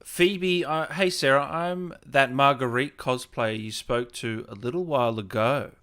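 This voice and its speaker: A man hosts a casual interview in a bright, forceful voice of medium pitch. He sounds informal and chatty as he reads out messages and puts questions to his guest. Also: unhurried, smooth. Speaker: podcast host, interviewer.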